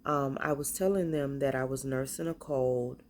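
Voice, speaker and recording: A woman with a stuffy cold, casually showing off the spiritual bath and body products she makes, talking in a halting, hesitant way. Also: extremely low pitch, fairly even intonation, a little mellow, a little hiss or hum.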